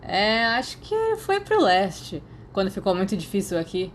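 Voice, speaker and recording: A woman's voice: mid-range voice, huge pitch swings, measured pace, a little hiss or hum. A Brazilian woman voicing an irritable, dismissive man in audiobook dialogue. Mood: offhand.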